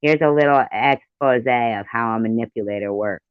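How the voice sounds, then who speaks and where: A man's voice: medium pitch, normal speed, clean tone, dry recording. Dull-sounding, argumentative man commentating. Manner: argumentative, blunt, swearing. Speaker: live stream host. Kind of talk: reaction commentary over played clips. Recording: dull lo-fi close microphone.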